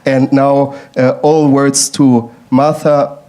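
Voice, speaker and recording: A man hosting an event and introducing the speaker, talking in a slightly halting way. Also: medium pitch, little pitch movement, mellow, clean tone. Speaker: event host.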